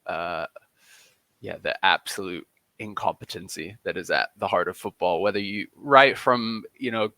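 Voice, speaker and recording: A man talking as a podcast guest in a casual interview, emphatic with halting restarts. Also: sits low, bright, forceful tone, dry recording.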